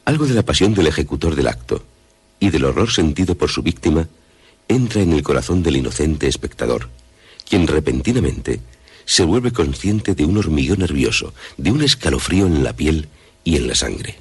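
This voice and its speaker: A man acting as the grave, ominous reader of a macabre literary passage in a radio horror drama, measured and husky. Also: extremely low pitch, big pitch swings, heavily acted.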